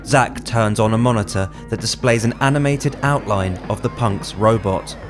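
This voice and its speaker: A man's voice: on the low side, moderate pace. A man reading screenplay stage directions as a scripted drama narration. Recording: music under the voice.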